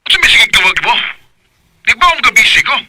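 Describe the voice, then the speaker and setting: Filipino man, Cebuano accent, brusque irritated boyfriend; radio drama, lively intonation, noisy recording. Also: very high voice, quick pace. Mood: annoyed. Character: irritable, dismissive young boyfriend, too busy to talk.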